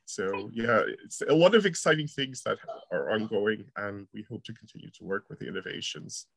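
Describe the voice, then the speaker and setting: A man's voice: on the low side, expressive, normal speed, creaky, husky. A middle-aged American man answers questions in a podcast interview, his speech hesitant and full of fillers. His tone stays friendly. The sound is dry and close, with no room echo. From United States. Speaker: university researcher guest.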